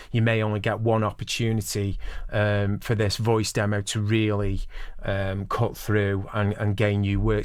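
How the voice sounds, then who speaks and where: A man's voice: on the low side, smooth, no room sound, clean studio sound. A man in his forties giving instructional advice as a voice-over producer, explaining things directly to the listener. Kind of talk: instructional talk to camera.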